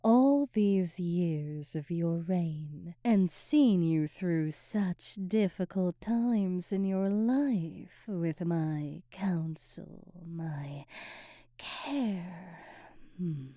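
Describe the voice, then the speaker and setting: A woman's voice: fairly low pitch, drawn-out words, mellow, no room sound, clean studio sound. A woman playing a sly, manipulative sorceress who advises a queen in an ASMR fantasy roleplay, teasing and insinuating in ornate, theatrical speech. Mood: coaxing, possessive.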